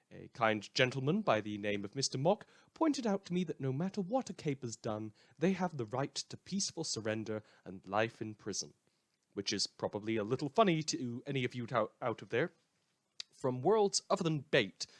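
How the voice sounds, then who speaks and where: A man's voice: mid-range voice, close to an everyday voice. A man playing a sardonic radio newsreader in a post-apocalyptic world, reading scripted satirical news with dry, rambling asides. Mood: wry, measured. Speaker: radio host.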